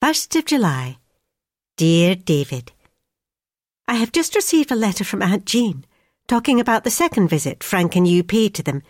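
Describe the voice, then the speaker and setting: A woman's voice: mid-range voice, sing-song, moderate pace, no echo, clean studio sound. An actress playing an affectionate, proud mother, reading out a chatty, homely wartime letter to her son. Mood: proud, tender. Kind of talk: read-aloud wartime family letter.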